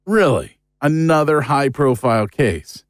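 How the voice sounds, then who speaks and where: A man's voice: mid-range voice, animated, measured pace, dry recording, studio quality, close to an everyday voice. A man playing an upbeat investigator bantering with his partner in an audio drama, casual and chummy. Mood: pleased.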